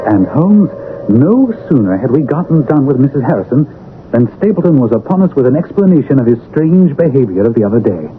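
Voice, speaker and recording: Through a thin, hissy old radio comes a man acting a loyal gentleman narrator. He is earnest and formal, with a medium-pitched voice that rises and falls widely. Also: big pitch swings, fairly quick, over music, natural voice. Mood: measured, dry. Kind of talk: 1970s radio drama acting. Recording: narrow-band vintage radio, faint hiss.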